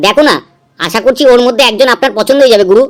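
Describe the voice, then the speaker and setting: An eager-to-please henchman in a comedy cartoon, played by a woman. The voice is high and the manner meek, coaxing and deferential. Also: rapid-fire, close to an everyday voice. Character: meek, eager-to-please male sidekick of a boss.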